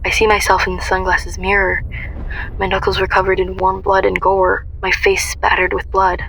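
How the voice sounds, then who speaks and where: Female narrator actor, radio drama: young heroine, intense, sharp creaky voice, narrow intonation, faint ambient noise. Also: mid-range voice, monotone, normal speed. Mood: tense intense. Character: young woman heroine recounting her ordeal, intense. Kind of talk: scripted first-person dramatic narration.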